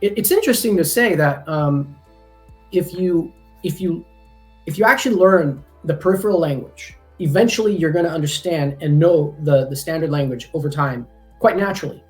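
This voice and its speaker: A man's voice: a little high, normal speed, a little mellow, faint music behind. A man in a casual podcast conversation, talking at length with frequent ums and restarts. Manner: rambling, many ums and restarts. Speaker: guest, language company founder. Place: lives in Taiwan.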